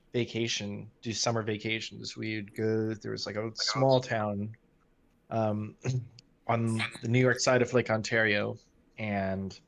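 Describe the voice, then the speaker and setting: A man reminiscing in casual chat, informal and a little halting. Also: fairly low pitch, vocal fry. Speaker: cast member on a story stream.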